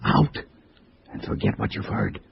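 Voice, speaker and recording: An actor playing a gruff, angry anti-communist theater boss, blunt and barking, in a 1950s radio drama. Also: male voice, measured pace, close to an everyday voice. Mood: angry, curt. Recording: noisy, dull vintage radio recording.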